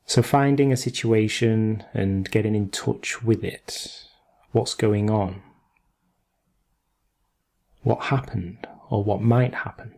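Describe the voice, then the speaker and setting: Slow, mellow male meditation guide. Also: fairly low pitch, creaky. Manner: unhurried, with long pauses between short phrases. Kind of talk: guided meditation, gentle instruction.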